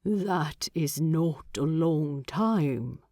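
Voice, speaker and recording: A woman voicing a grave, cautious male dwarf in a storybook narration, with careful, measured words. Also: deep voice, lively intonation, mellow, no echo, clean studio sound, pitched below the performer's own voice, exaggerated voice.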